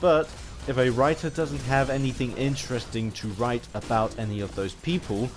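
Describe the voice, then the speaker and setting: A man giving an unscripted opinion ramble as a gaming vlogger, halting and often restarting his phrases. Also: medium pitch, over music.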